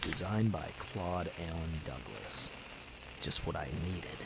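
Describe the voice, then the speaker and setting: Low, gravelly young vigilante. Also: male voice, expressive, slow pace, heavy background noise, heavily acted. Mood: musing. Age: young adult. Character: young speakeasy owner secretly a ghostly vigilante, earnest, commanding. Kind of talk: old-time radio drama acting.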